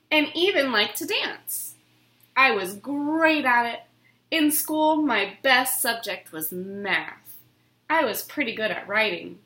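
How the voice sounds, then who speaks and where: A woman giving an expressive, theatrical dramatic reading of a children's book. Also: fairly high pitch. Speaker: storybook reader. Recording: roomy, echoing room sound.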